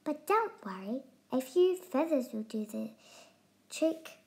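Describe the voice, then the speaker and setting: A woman's voice: high voice, very animated, slow pace, warm tone, pitched above the performer's own voice, full character voice. A woman voicing a graceful, fluttery female emu who sighs as she speaks, in a storybook. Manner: fluttery, sighing. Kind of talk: storybook reading.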